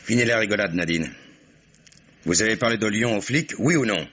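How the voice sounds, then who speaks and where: An actor playing a middle-aged swindler and murderer, curt, sardonic and menacing, in a French radio crime drama. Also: male voice, on the low side, a little fast, fairly bright tone, husky, muffled recording. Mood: irritated, sardonic.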